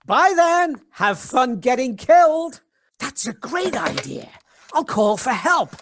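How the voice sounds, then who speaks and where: An exasperated, self-serving professor played by an actor with very lively intonation. The delivery is sarcastic and knowing, in spoof B-movie radio style. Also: high-pitched, takes it slowly, background noise, heavily acted. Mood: dry.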